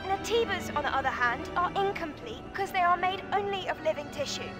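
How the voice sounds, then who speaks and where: A woman's voice: very high-pitched, animated, a little fast, over loud music. An actress playing an earnest, emotional young female android warrior in a video game. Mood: indignant. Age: young adult. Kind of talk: video game cutscene acting.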